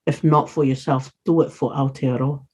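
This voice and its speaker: A woman's voice: very deep voice, fairly even intonation, moderate pace, mellow, husky. A woman who speaks English as a second language, talking as a podcast guest in an earnest, encouraging way. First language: non-English first language. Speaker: podcast guest, community leader. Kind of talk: casual interview talk.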